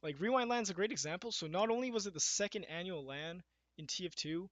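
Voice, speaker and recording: A young man talking casually in a video podcast about competitive gaming. His voice is slightly raspy and a little shaky, and he speaks with many fillers and restarts as he reads from notes. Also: fast talker, fairly bright tone, no room sound. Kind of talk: casual unscripted talk, reading from notes. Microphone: webcam mic. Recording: dull, dry low-quality webcam mic.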